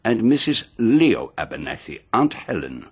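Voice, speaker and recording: A man's voice: on the low side, big pitch swings, measured pace, breathy edge, heavily acted. An actor playing a pompous, meticulous male private detective in a radio mystery drama, speaking formally and with theatrical deliberation. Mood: methodical revealing.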